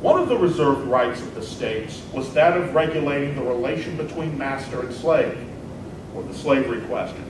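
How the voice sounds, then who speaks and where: A man playing a combative 19th-century American senator, delivering a dramatic debate speech in an emphatic, deliberate oratorical manner. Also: lively intonation, close to an everyday voice. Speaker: performer in a historical dramatic reading. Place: large echoey indoor hall, recorded from afar. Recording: very echoey hall, distant mic, rumbling noise.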